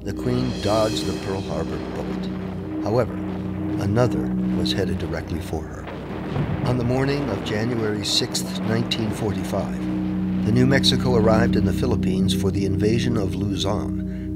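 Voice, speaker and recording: Low-voiced male documentary narrator over music. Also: moderate pace. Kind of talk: scripted historical narration. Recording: music bed under the voice.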